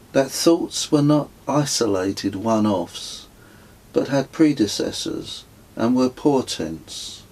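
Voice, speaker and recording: Slow, husky man narrating a book. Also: medium pitch, darkish tone, low-quality recording. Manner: measured, descriptive storytelling. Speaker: author reading own book. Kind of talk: scripted literary narration.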